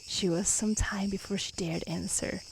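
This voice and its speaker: A woman storyteller reading a fairy tale in a calm, soothing, hushed ASMR style. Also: mid-range voice, normal speed, gravelly. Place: outdoors in nature. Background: faint insects, nature ambience.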